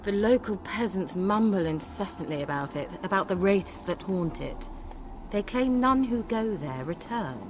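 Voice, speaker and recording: A woman's voice: medium pitch, lively intonation, moderate pace, background noise, lightly acted. An actress playing a poised, sly sorceress in a fantasy game, refined and teasing as she coaxes. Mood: intrigued.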